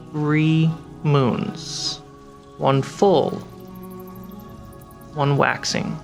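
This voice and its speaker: A game master narrating a tabletop fantasy game with deliberate dramatic pauses. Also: medium pitch. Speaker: game master and show host. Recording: background music and rain sounds under voice.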